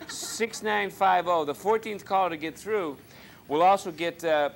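A man's voice: high voice, unhurried, a little hiss or hum, poor audio. A man with a Boston accent hosting a live call-in TV show, teasing and joking with guests and callers. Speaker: TV talk show host. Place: TV studio with audience.

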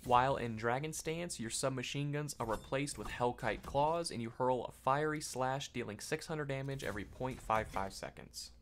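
A man's voice: medium pitch, normal speed, few pauses, slight background noise. A man hosting a gaming video, reading scripted explanatory narration about a game.

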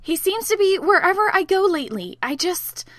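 A woman's voice: very high voice, sing-song, normal speed, a bit bright, no room sound, clean studio sound, full character voice. A woman playing an anxious, tender-hearted teenage girl in an audio drama, emotional in manner. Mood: confused, hesitant. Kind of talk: fan audio drama acting.

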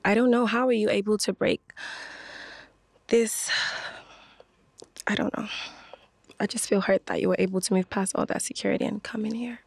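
A woman's voice: medium pitch, steady tone, normal speed, creaky, long pauses, a little mellow, slightly rough, no echo. A woman, an actress guesting on a podcast, improvising comic scenes in a theatrical, giggly way. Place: studio set with red wood walls. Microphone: studio mic on a boom arm.

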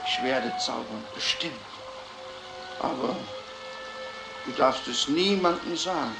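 An actor playing a secretive man who poses as a magician, speaking in slow, coaxing film-drama dialogue. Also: medium pitch, lively intonation. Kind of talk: film drama dialogue in a crime audio play. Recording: echoey film sound with music.

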